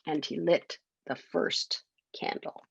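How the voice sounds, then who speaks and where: A woman's voice: deep voice, steady tone, takes it slowly, gravelly, slightly rough. A woman reading a children's bedtime story expressively, as a storyteller.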